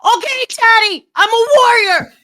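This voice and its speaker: Woman doing a shrill brave little warrior boy. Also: a little slow, bright, forceful tone, exaggerated voice. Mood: defiant.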